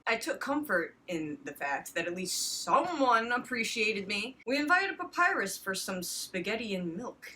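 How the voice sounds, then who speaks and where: A woman with a bright, sharp voice reads a story aloud for laughs. She slips between narration and banter, with sweary, disbelieving asides. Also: medium pitch, slight background noise, rough recording. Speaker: comedy show host reading fan fiction. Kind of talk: comedic read-aloud with banter.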